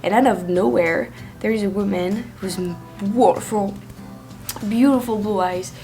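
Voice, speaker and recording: A young French woman vlogging her travels in casual chat, slightly hoarse from a cold and full of fillers and restarts. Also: medium pitch, unhurried, dark tone, faint music behind. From France. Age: young adult. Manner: chatty, with many fillers and restarts.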